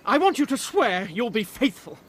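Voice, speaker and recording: An actor playing an anxious, jealous young fiancé who speaks earnestly and insistently in a radio drama. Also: male voice, very high-pitched, big pitch swings, moderate pace, a bit bright, husky, exaggerated voice. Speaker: Cyril Morton.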